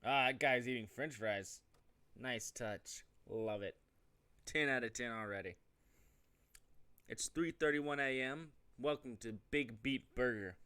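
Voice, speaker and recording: A man hosts a casual gaming show in an ordinary mid-pitched voice. He moves between reading game text aloud and offhand, joking commentary. His delivery rambles in a relaxed let's-play style. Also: a little slow, clean tone.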